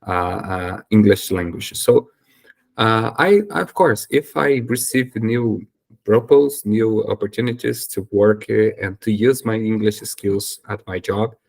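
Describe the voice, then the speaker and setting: A young man from Brazil talks straight to his viewers in English, his Brazilian Portuguese accent clear in every phrase. His voice sits fairly low and has a gravelly edge. He pauses, fills gaps and corrects himself as he goes. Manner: hesitant, many fillers and self-corrections. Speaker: YouTuber and English learner. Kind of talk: casual solo talk to camera.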